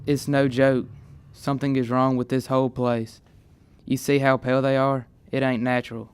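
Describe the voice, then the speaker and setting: A man's voice: medium pitch, flat delivery, moderate pace, smooth, dry recording, lightly acted. A teenage male playing an anxious young boy in an amateur radio play, speaking in plain folksy phrases. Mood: uneasy, insistent. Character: Kip, anxious young boy, spooked and wondering. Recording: music under the voice.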